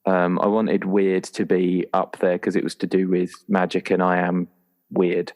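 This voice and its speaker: A man's voice: deep voice, completely flat delivery, a little slow, a little mellow. A man chatting casually as a roleplayer talking through his game character. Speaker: podcast guest, tabletop roleplayer.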